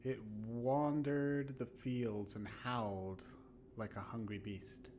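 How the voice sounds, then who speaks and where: A man putting on a storytelling voice to narrate an eerie horror tale in a hushed, deliberate way. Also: mid-range voice, little pitch movement, slight background noise, natural voice. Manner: hushed, deliberate, smooth reading.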